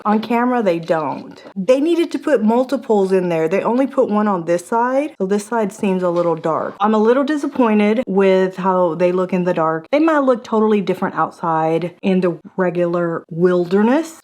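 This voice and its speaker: A middle-aged woman, hosting a casual product review vlog in a chatty, animated way, a little hoarse. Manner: chatty and animated, with gasps and big jumps in pitch.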